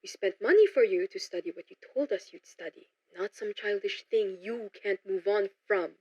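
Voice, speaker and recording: A woman's voice: mid-range voice, normal speed, darkish tone, slightly rough, close to an everyday voice. A woman playing a stern, dismissive mother in a motion comic, scolding curtly, with a dull, muffled sound. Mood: cold, scolding. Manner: scolding, curt.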